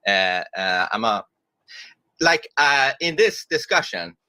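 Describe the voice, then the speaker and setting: A man's voice: medium pitch, sing-song, slow pace, sharp tone, smooth, dry recording. A man from Sweden giving an opening statement in a debate, hesitant and searching for words, with many fillers.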